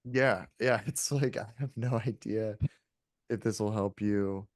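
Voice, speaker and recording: A man's voice: fairly low pitch, fairly even intonation, measured pace, no echo, studio quality. A man in his late twenties chatting casually as a podcast guest, reflective and hedging, swearing freely.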